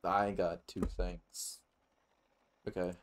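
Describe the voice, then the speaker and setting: A man's voice: deep voice, level tone, takes it slowly, a little hiss or hum. A man giving casual, rambling let's play commentary with some swearing. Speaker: gaming YouTuber.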